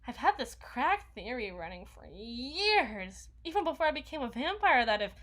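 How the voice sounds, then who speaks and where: A woman's voice: on the high side, sing-song, normal speed, a bit bright. A woman voicing an awkward, needy, housebound young vampire in an ASMR roleplay, rambling and stammering as she corrects herself. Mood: nervous, flustered. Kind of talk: intimate ASMR roleplay monologue.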